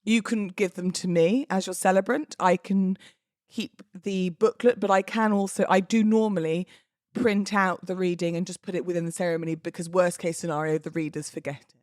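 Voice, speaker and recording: A woman's voice: fairly low pitch, fairly even intonation, normal speed, a little mellow, dry recording, studio quality. A British woman, likely in her thirties, hosting a casual solo podcast in a chatty, self-deprecating, playfully emphatic way. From United Kingdom. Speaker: podcast host; wedding celebrant.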